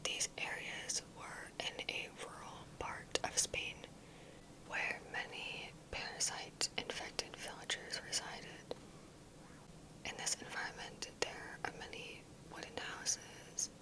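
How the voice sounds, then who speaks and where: A woman giving ASMR commentary in a soft, breathy, unvoiced whisper, with careful, measured delivery. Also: rough recording. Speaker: ASMR gaming video creator.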